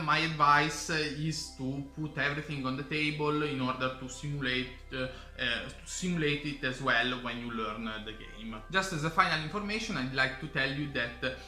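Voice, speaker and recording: A man with an Italian accent explaining board game rules in English as a channel host, halting with many fillers and restarts. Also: medium pitch, pushed and bright. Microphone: camera microphone. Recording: roomy camera-mic audio with faint music.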